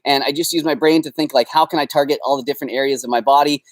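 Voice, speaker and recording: A man's voice: mid-range voice, steady tone, very fast, dry recording. A young Canadian man hosting a casual live Q&A from his backyard, upbeat and rambling with fillers. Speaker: host. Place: backyard, outdoors.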